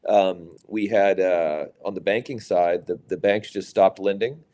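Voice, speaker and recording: Middle-aged man from Louisiana, low and mellow, measured guest answering questions. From Louisiana, USA. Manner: measured, many fillers and restarts. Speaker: private equity executive, guest. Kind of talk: conversational interview answers.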